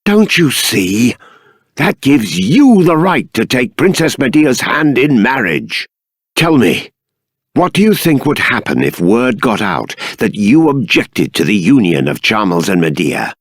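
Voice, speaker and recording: Stern middle-aged game king, regal and formal, very animated pitch swings, slow delivery, emphatic diction. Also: no room sound, studio quality. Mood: indignant, scolding. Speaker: video game voice actor. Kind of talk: dramatic game character dialogue.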